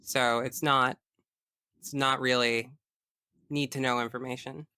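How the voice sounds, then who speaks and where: A man's voice: medium pitch, level tone, a little slow, bright, forceful tone, smooth, no echo, studio quality. A man speaking as a podcast guest, chatting casually about video games in a frank, geeky way.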